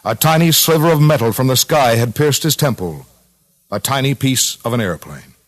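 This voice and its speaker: A man narrating a 1950s radio documentary in a solemn, dramatic, measured manner. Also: medium pitch, expressive. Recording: 1950s radio broadcast with music.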